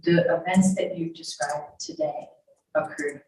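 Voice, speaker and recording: Low-voiced female attorney, echoey room. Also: sing-song, unhurried, darkish tone. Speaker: attorney questioning a witness. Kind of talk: formal courtroom questioning. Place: courtroom. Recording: echoey courtroom, distant mic, faint noise.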